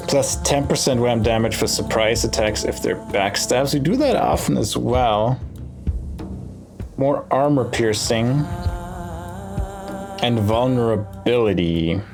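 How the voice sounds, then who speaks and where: A man giving casual gameplay commentary, thinking aloud in short, musing phrases with pauses between them. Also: medium pitch, expressive. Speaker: let's play host. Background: game music.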